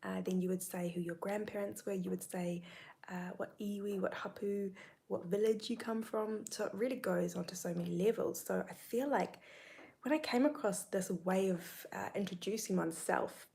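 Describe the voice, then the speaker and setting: A young woman with a New Zealand accent talking casually on a live stream, soft and often half-whispered, with many ums. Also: medium pitch, moderate pace, vocal fry. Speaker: spiritual content creator.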